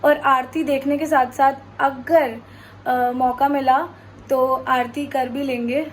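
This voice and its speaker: Chatty high-voiced young woman vlogger. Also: little pitch movement, takes it slowly, slight background noise. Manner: chatty, mixing Hindi and English. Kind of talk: vlog intro to camera.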